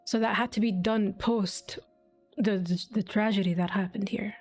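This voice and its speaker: A woman's voice: medium pitch, fairly even intonation, normal speed, husky. A woman giving casual, emotionally invested reaction commentary on a game, thinking aloud and swearing freely. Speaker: game streamer. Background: faint game music.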